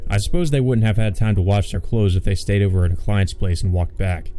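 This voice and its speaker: A man's voice: on the low side, steady tone, warm tone, faint music behind. A man reading a visual novel aloud as a let's play host, with casual commentary. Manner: halting, repetitive stammer in asides.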